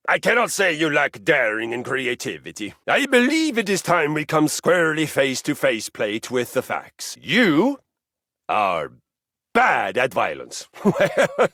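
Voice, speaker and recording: A violence-crazed warrior handrail. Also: male voice, creaky, slightly rough, dry recording, clean studio sound, heavily acted. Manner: grandiose, theatrical, swings from booming shouts to hushed menace. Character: bloodthirsty male warrior handrail, boastful, violence-obsessed. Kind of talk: video game voice acting.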